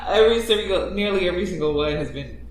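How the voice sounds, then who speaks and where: A woman's voice: on the low side, lively intonation, quick pace, slight background noise. A woman voice actor in a casual chat, blunt, opinionated and wry, recorded in an echoey room. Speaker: podcast voice actor.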